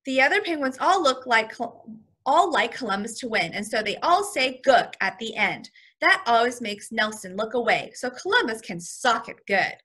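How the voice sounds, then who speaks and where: Bright-voiced woman teacher reading aloud. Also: a little high, normal speed, husky. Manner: expressive reading, simple explanations for children. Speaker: first grade teacher. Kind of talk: storybook read-aloud with vocabulary explanations.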